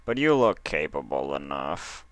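Guy as a stuffy paper-pusher stallion, slow n deadpan, super clean dry sound, storybook. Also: a bass voice, no room sound, pitched below the performer's own voice, full character voice. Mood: dry, polite. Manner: slow, deliberate, formal, deadpan. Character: fussy, bureaucratic male pony official, dry and deadpan.

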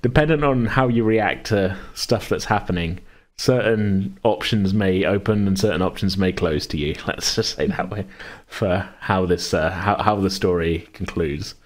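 A man's voice: sits low, moderate pace. A man hosting a casual, unscripted podcast chat, speaking in a hesitant, circling way. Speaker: podcast host and game master.

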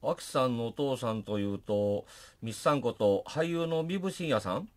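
A man's voice: medium pitch, expressive, moderate pace, a little mellow, close to an everyday voice. A man playing a courteous, solicitous funeral director in a comic radio drama. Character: courteous, solicitous male funeral company president.

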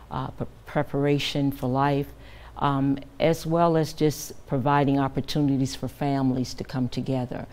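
Very low, dark-voiced older woman. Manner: earnest, measured, with frequent fillers. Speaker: guest, director of a children's camp. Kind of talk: TV studio interview. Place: TV news studio.

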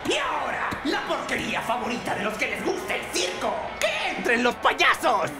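A man's voice: extremely high pitch, huge pitch swings, moderate pace, heavily acted. A man playing a crude demon circus ringleader in a Latin American Spanish cartoon fan dub, with a showman's delivery. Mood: scornful showmanship. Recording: echoey, loud music behind.

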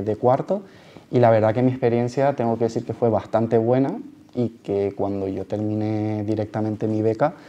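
A man's voice: fairly low pitch, dark tone, muffled recording. A man in his twenties with an accent from Spain, explaining his work to students as a junior auditor on a panel. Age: 20s. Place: large auditorium, panel table.

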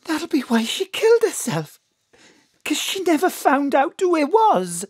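Doting Welsh mother, middle-aged, high lilting pitch, unhurried, emphatic, for audio drama. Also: big pitch swings, a little mellow, no echo, clean studio sound. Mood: grave, tender. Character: Astrid's doting, plain-spoken Welsh mother.

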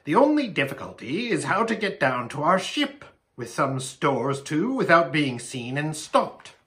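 A man voicing an anxious, eager male faun, courteous and breathlessly excitable, in a storybook reading. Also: a little high, a little slow. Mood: eager scheming.

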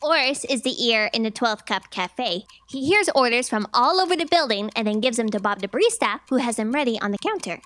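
A woman playing a cheeky, excitable fact checker in a staged comedy audio drama, with a bubbly, gushing manner. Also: a little high, a little fast, hardly any pauses, no echo. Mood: perky. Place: theatre stage. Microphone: stand microphone.

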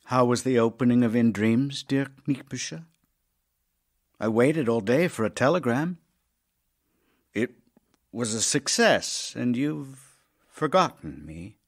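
A man playing an ailing, lonely middle-aged writer in an audio drama, wry and tender toward his wife, gently chiding and wistful. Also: medium pitch, lively intonation, slow, pauses often. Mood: envious, wounded. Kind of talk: audio drama acting, reading love letters.

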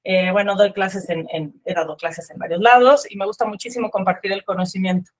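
A woman's voice: medium pitch, fairly even intonation, fairly quick. A woman in her forties from Mexico City with a Mexican accent, giving a webinar talk on a video call, conversational with many fillers and restarts. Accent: Mexican Spanish. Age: 40s. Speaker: landscape architect giving a webinar. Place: room with bookshelves. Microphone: video call webcam.

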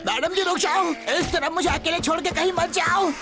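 A cowardly male cartoon shark with a high, whiny voice. He is melodramatic and exclamatory, and loud music plays under him. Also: normal speed. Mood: nervous. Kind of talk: Hindi cartoon dub.

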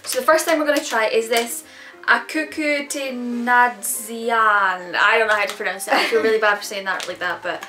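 A woman's voice: fairly high pitch, normal speed, pushed and bright. A young Scottish woman co-hosting a casual taste-test vlog, chatty and giggly, easily grossed out by odd food. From Scotland. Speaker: vlog co-host. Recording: roomy echo, distant mic, music behind.

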